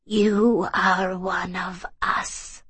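A woman voicing a solemn old fairy witch from a storybook, speaking slowly in formal, cryptic, archaic phrases. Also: medium pitch, flat delivery, a bit bright, breathy edge, full character voice. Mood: grave, serene.

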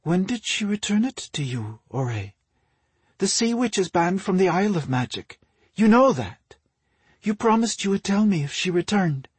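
Man doing a fantasy queen, lifted pitch, soft-mannered, imploring, worried loving wife, audiobook, dry recording. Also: sing-song, moderate pace, husky, no room sound, exaggerated voice. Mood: pleading. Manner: gentle, imploring.

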